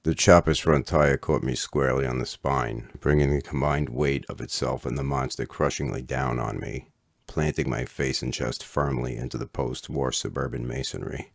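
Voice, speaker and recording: Very deep, gravelly male storyteller. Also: fairly even intonation, dry recording, clean studio sound. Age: middle-aged. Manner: measured, literate storytelling delivery. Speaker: author reading own comic story. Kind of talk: scripted autobiographical narration.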